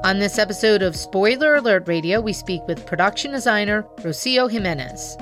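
A woman hosting a podcast, reading a formal scripted introduction and asking interview questions in a measured way. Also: mid-range voice, lively intonation, over music. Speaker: podcast host.

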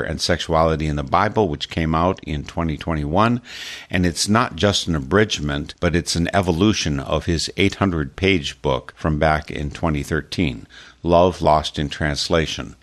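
A man hosting a spirituality radio show, introducing his guest and making station announcements in a measured way. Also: low-pitched, a bit bright. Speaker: radio host.

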